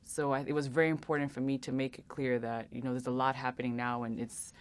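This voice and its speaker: A young woman answering interview questions earnestly, with many pauses and restarts. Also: extremely low pitch, little pitch movement. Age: young adult. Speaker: interview guest.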